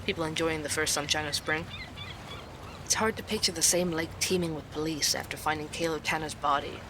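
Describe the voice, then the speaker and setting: A man's voice: on the high side, fairly even intonation, fairly quick, background noise, natural voice. An actor playing a curious male investigative podcaster, who narrates and probes with questions. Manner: inquisitive, probing.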